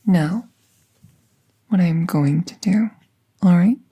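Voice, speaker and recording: A woman playing a gentle magical veterinarian in an ASMR roleplay, soothing and reassuring in a hushed, breathy voice. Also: lowish voice, slow pace. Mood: calm, reassuring.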